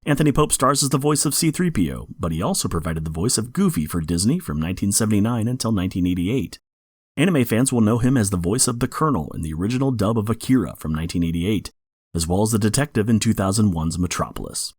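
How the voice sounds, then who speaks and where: Animated low-voiced male podcast host, husky. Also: fast talker, dry recording, clean studio sound. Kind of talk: informative scripted podcast narration.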